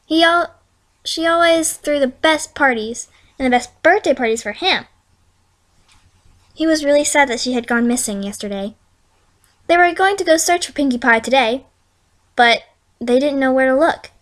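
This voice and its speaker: A woman's voice: high-pitched, moderate pace, pauses often, a bit bright. A woman reading fan fiction as an informal storyteller, conversational and stumbling over words. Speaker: amateur fan fiction reader.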